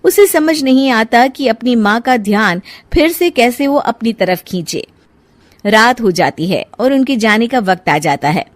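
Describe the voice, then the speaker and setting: A woman's voice: on the high side, expressive, measured pace, no room sound. A woman narrating a moral story from a script as storyteller.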